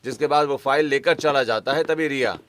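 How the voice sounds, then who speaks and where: A man's voice: mid-range voice, brisk, fairly bright tone. A man narrating a scripted cartoon story as its storyteller. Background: background music.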